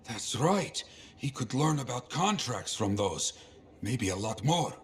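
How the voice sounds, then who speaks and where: An actor playing an earnest, indignant ally in a video game, speaking in a grave, emphatic way. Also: male voice, medium pitch, very animated, measured pace. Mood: grave, concerned. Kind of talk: dramatic video game acting.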